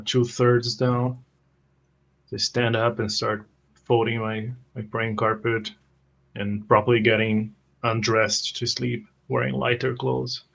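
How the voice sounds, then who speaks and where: A man in a tabletop roleplaying game, narrating his character's actions in halting phrases. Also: mid-range voice, gravelly, fairly bright tone.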